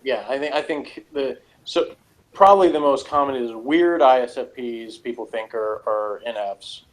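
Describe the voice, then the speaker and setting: A man's voice: medium pitch, animated, normal speed, rough recording. A man talking casually in a loose, rambling discussion, feeling his way through his points hesitantly. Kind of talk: casual discussion of TV and personality types.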